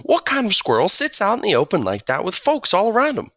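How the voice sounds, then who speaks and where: A man's voice: high-pitched, wildly swooping pitch, fairly quick, fairly bright tone, no echo, studio quality, pitched above the performer's own voice, full character voice. A man voicing a friendly, talkative country boy from Arkansas with a drawl and folksy phrasing. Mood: eager, chatty. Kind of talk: audiobook character voice.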